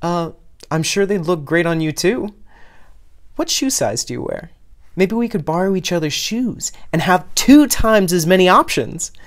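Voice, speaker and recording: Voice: medium pitch, lively intonation, unhurried, pitched above the performer's own voice, close to an everyday voice. Easygoing teenage skateboarder in an audio story, played by the narrator: friendly, upbeat, exclaiming. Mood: cheerful.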